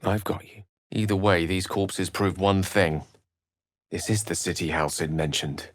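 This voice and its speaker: An actor playing a learned, courtly wizard in a fantasy video game, theatrical and articulate, with formal, earnest phrasing. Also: male voice, sits low, unhurried, no echo, clean studio sound. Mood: pensive. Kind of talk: scripted fantasy game dialogue.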